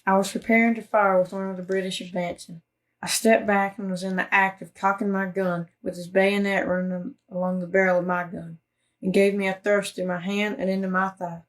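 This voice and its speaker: A woman reads a first-hand account from a script. Her pitch is average and moves very little as she goes. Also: little pitch movement, fairly quick. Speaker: reader of a historical account.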